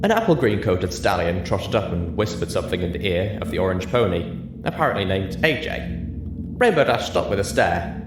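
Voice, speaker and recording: Low-voiced male story narrator, expressive. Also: a little fast. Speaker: story reader. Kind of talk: dramatic audiobook narration. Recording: roomy echo with music behind. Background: music.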